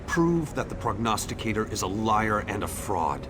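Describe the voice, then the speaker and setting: A man's voice: fairly low pitch, very animated, moderate pace, noisy background. A man voicing a bitter, defiant younger man in a fantasy game, blunt and scornful in manner. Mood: scornful, determined. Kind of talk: AI-voiced fantasy game dialogue.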